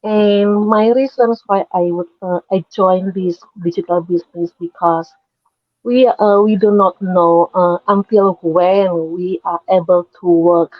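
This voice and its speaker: A woman's voice: on the low side, steady tone, a little slow, dry recording. Indonesian-born woman on a video call, a bit hesitant, dry muffled audio. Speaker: guest. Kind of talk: casual chat.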